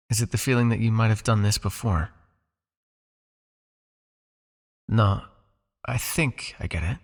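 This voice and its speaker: A man playing a possessive, teasing vampire captor in an intimate ASMR roleplay, coaxing the listener with a creaky voice. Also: lowish voice. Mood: teasing, intrigued. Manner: coaxing, teasing, with pauses, sighs and murmured asides.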